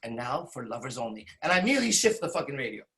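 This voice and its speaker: A man's voice: mid-range voice, big pitch swings, very fast, fairly bright tone. A man in his forties telling a rambling, profane personal story, full of restarts and tag questions. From Iran. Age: 40s. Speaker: podcast host. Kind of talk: candid storytelling monologue.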